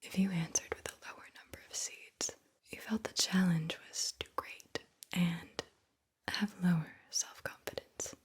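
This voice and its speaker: A woman whispering slowly and soothingly as an ASMR host, guiding the listener deliberately and repeating words. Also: lowish voice. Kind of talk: whispered ASMR guided visualization.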